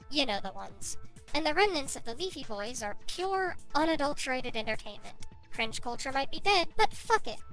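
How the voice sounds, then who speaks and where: A woman's voice: a little high, pushed and bright, poor audio. A woman giving casual video essay narration as an online commentary creator.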